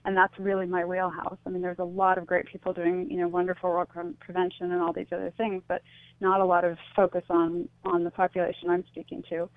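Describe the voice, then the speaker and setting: A woman's voice: on the low side, monotone, fairly quick, no room sound. A woman speaking as a radio interview guest in an earnest, explanatory, conversational way, over a narrow phone connection. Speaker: interview guest, advocate for the bereaved. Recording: tinny, narrow phone line.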